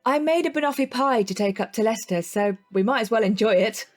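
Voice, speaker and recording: A woman's voice: on the high side, lively intonation, quick pace, faint music behind, close to an everyday voice. An actress playing a cheeky, blunt British woman neighbour in an audio drama, casual, wry and teasing. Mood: cheerful. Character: Rach, cheeky, blunt, friendly female upstairs neighbour. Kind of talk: naturalistic audio drama acting.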